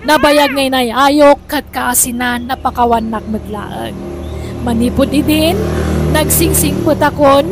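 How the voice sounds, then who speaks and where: A woman's voice: high-pitched, measured pace. A woman with a Filipino accent giving scripted dramatic narration as a radio drama host and narrator. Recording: music bed under narration.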